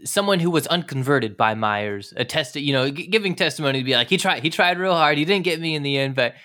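A man's voice: fairly high pitch, lively intonation, fast talker, bright, forceful tone. A man hosting a conversational history podcast, talking informally and with enthusiasm. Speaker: podcast host.

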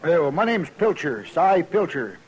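Brash, highish backwoods gambler. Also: male voice, sing-song, moderate pace, low-quality recording. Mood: brash, challenging. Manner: blunt, challenging. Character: brash, rough, hot-tempered backwoods bettor. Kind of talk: 1950s radio drama acting.

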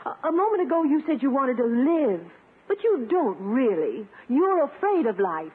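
A woman's voice: high voice, very animated, normal speed, husky, phone-quality sound, heavily acted. An American actress playing a coy, gushing, romantic woman angling for a husband, in 1950s radio comedy style. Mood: coaxing, insistent. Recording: thin, faintly noisy 1950s radio recording.

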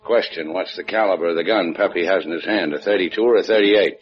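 An actor playing a tough, sardonic hard-boiled adventurer, deadpan and wisecracking in a 1950s radio drama. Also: male voice, sits low, quick pace, close to an everyday voice. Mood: dry, wry. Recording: dull 1950s radio recording, faint music.

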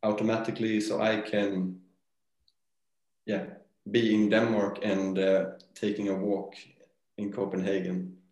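Low-voiced man in an echoey room. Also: level tone, darkish tone. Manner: halting, thoughtful, restarts sentences. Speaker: brand founder, guest. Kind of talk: casual interview conversation. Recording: roomy echo.

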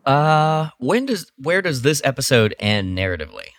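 A man co-hosting a podcast, chatting candidly in a lively, animated way with plenty of "like" fillers. His voice sounds dry and close, with no room around it. He often breaks into laughter. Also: medium pitch, normal speed, dry recording. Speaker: podcast co-host. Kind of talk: casual chat.

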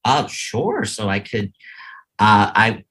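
A man with a deep, low voice talks loosely as an interview guest. He rambles, circles back and fills pauses with ums. He is speaking from an office. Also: big pitch swings, sharp tone, husky, low-quality recording. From New York. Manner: rambling, many ums and restarts. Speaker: guest, media sales executive. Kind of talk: casual interview chat.

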